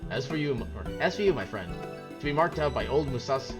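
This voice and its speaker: A man voicing an eager, money-minded fixer from a video game, brisk, chatty and self-assured. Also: medium pitch, lively intonation, pitched above the performer's own voice, natural voice. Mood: encouraging. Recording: game music behind the voice.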